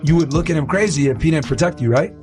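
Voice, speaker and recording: A man with a fairly high voice argues at a fast clip. He is confrontational and presses with leading questions, while music plays clearly behind him. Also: a little mellow. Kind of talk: combative debate.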